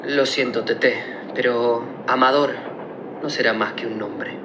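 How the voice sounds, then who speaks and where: A man's voice: medium pitch, steady tone, unhurried, roomy sound, noisy background, close to an everyday voice. An actor playing an earnest young man in a radio play, reasoning out a mystery in an emphatic, explanatory way. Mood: serious. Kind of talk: theatrical radio play acting.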